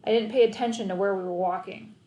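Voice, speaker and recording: A woman reads a children's story aloud with expressive, dramatic phrasing. Her voice sits at a middle pitch. The room adds a clear echo around her words. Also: quick pace. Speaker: read-aloud narrator. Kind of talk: expressive children's audiobook narration, first person.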